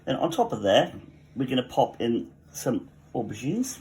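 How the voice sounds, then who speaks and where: A man's voice: mid-range voice, big pitch swings, moderate pace, a little mellow, slight background noise. A man with a Welsh accent who runs a cafe, talking viewers through a cooking tutorial at his home kitchen in a chatty way, with joking asides. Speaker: cafe cook.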